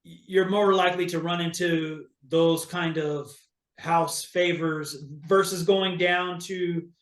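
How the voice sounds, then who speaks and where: A man's voice: a little high, fairly even intonation, takes it slowly, echoey room. A man from Hawaii, a real estate agent, talking casually as an interview guest, with many fillers and restarts.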